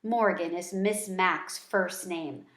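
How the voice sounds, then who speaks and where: A woman reading a children's book aloud in an expressive storytelling style. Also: lowish voice, takes it slowly, reverberant. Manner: expressive, acting out character dialogue.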